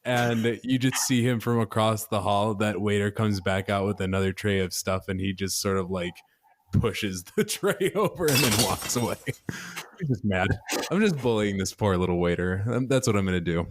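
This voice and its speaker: A man's voice: fairly low pitch, normal speed, slight background noise. A man chatting and improvising casually as a player in a tabletop roleplaying game.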